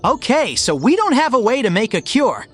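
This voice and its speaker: A woman's voice: fairly high pitch, sing-song, moderate pace, soft background music. Woman playing a swooping, brash hedgehog hero. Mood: weary, frank. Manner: casual, punchy. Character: brash young male hedgehog hero, determined and frank. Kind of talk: cartoon hero dialogue.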